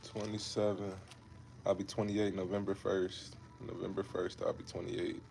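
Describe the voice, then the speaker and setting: A man's voice: low-pitched, little pitch movement, normal speed, vocal fry, darkish tone, a little hiss or hum. A man in his twenties from Ohio, chatting casually with viewers on a fitness livestream, laid-back and repetitive, calling them bro and man. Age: 20s. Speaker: fitness creator hosting a livestream.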